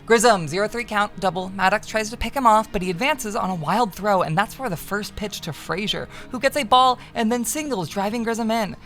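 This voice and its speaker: A woman's voice: medium pitch, brisk, hardly any pauses, a bit bright, faint music behind, lightly acted. A woman playing a young baseball fan in a visual novel, calling plays in an emphatic, clipped, projected delivery. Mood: animated announcing. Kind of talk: play-by-play commentary in visual novel dialogue.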